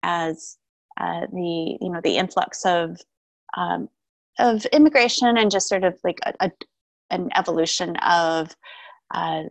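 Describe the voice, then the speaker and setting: A woman in her forties from Taiwan, raised in Canada, speaking thoughtfully with many pauses and restarts as an interview guest. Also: lowish voice, vocal fry, no echo. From Taiwan, raised in Vancouver, Canada. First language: Mandarin. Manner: thoughtful, with frequent ums and restarts. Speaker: guest, shamanic practitioner. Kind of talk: casual interview talk.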